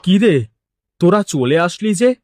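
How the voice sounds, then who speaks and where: Man acting an excitable village fish boss, dry sound. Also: high voice, unhurried, no echo. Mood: panicked. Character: anxious village fish-wholesale boss, polite, easily panicked. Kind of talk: cartoon dialogue acting.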